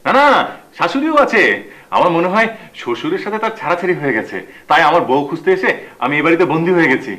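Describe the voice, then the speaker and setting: An actor playing a whiny, scheming grown son in a comic TV drama, speaking repetitively with a Bangladeshi accent. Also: male voice, fairly high pitch, sing-song, quick pace, a bit bright. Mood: pleading. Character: whiny, scheming son-in-law, childishly dependent on father.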